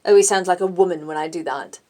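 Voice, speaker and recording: A woman's voice: on the low side, fast talker, darkish tone. A woman audiobook narrator talking through character voices as she works them out. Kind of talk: demonstrating character voices, thinking aloud.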